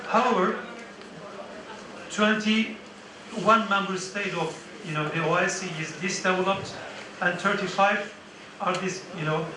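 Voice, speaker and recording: A man giving formal remarks as guest speaker at a conference, halting often with fillers and restarts. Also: high voice, background noise. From Turkey. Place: large conference hall. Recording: echoey hall, distant mic.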